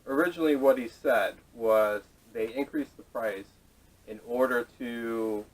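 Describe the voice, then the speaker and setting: A man hosting a casual podcast discussion, speaking haltingly with restarts and casual swearing, picked up on a camera microphone. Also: mid-range voice. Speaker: podcast host introducing topics. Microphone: camera mic, away from speakers. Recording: distant camera microphone audio.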